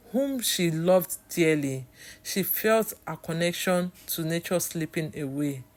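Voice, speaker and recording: A woman with a Nigerian accent reading a scripted story as its storyteller. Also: sits low.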